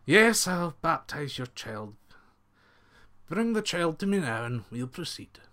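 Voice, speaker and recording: A man voicing a stern but reasonable, wry minister in a told folk tale, speaking in firm, measured declarations. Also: fairly high pitch, big pitch swings, fairly bright tone, pitched above the performer's own voice, exaggerated voice. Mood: resolute.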